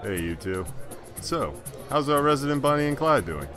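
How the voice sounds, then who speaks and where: A man playing a middle-aged school principal and father in an audio drama, steady, wry and dryly firm. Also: mid-range voice, normal speed, faint music behind. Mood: wry, concerned.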